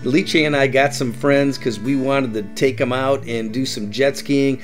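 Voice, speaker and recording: A man's voice: medium pitch, steady tone, a little slow, soft background music. Upbeat middle-aged man hosting travel tips. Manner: upbeat, repeats "that's right". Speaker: travel vlog host. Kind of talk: enthusiastic travel guide narration.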